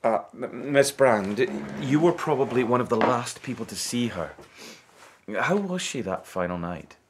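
A man's voice: medium pitch, expressive, a little slow, noisy background, close to an everyday voice. A man acting in a radio drama as a polite, tentative, long-suffering police sergeant.

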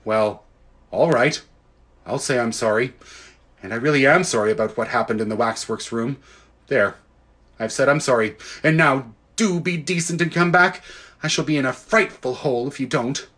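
A man's voice: medium pitch, moderate pace, a bit bright, close to an everyday voice. A man voicing an earnest, worried schoolboy in a storybook, speaking with urgency. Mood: grudging apology. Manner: urgent, pleading.

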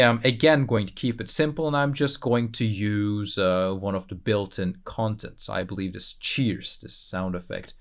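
A man hosting a casual software tutorial, thinking aloud in a conversational way with playful asides. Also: fairly low pitch. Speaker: tutorial host.

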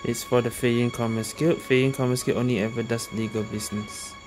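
A man's voice: mid-range voice, steady tone, a little fast, over music, poor audio. A man giving casual playthrough commentary as a gamer host, reading choices aloud.

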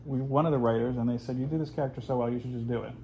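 A man's voice: medium pitch, steady tone, very fast, dark tone, a little hiss or hum, rough recording. A man in his forties, hosting a casual home video, talking in a rambling, chatty way with plenty of fillers. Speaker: host. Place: living room at home, indoors.

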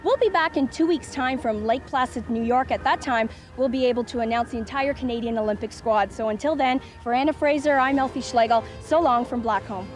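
A woman's voice: a little high, fairly quick, hardly any pauses. Upbeat woman sports host outdoors. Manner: upbeat scripted presenting. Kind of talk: on-camera sports wrap-up. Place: outdoors at a snowy ski hill. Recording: faint music bed, old VHS tape.

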